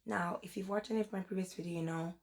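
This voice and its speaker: Hoarse young woman with a cold. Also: lowish voice, fairly even intonation, fast talker. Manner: chatty, rambling, says "like" and "um" often. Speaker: book vlogger. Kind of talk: casual book review vlog.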